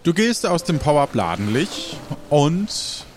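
A man narrating an improvised role-play as game master, measured and pausing to think. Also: on the high side, big pitch swings, music in the background.

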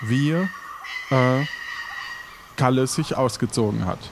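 A man narrating an improvised game as game master in a hesitant, halting way. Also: mid-range voice. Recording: noticeable background noise.

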